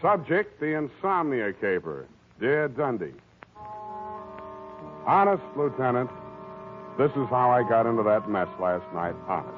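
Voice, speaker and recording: An American man acting a wry, weary hard-boiled private detective in an old-time radio drama, deadpan and sardonic. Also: mid-range voice, measured pace, long pauses. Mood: wry, dictating. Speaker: lead actor of a radio detective series. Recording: narrow, tinny old-time radio recording with music.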